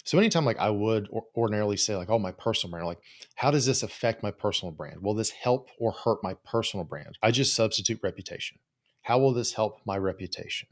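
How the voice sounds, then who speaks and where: A man's voice: on the low side, lively intonation, fast. A man giving informal solo advice as a coach, talking in a blunt, no-nonsense way with plenty of casual swearing. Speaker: host, psychologist and business coach for creators.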